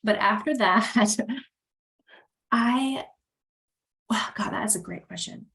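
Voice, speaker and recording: A woman's voice: mid-range voice, takes it slowly, vocal fry, a bit bright, slight background noise. A woman from the American South with a Southern accent, a hand therapist guest chatting enthusiastically on a podcast. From Southern United States. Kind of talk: casual podcast chat.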